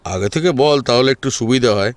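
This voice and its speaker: A man's voice: mid-range voice, brisk, no room sound, close to an everyday voice. A man voicing a scheming eldest brother who feigns kindness to his younger sister, falsely sweet and patronizing, in an audio story. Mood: feigned friendliness. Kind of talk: Bengali audio story acting.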